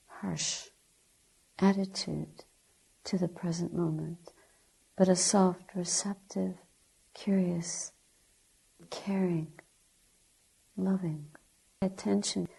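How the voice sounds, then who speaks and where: Woman leading a guided meditation, soft and gentle, really creaky low-energy mellow voice, lots of pauses. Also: low-quality recording. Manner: gentle, deliberate, with long pauses between short phrases. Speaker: Buddhist nun, meditation teacher.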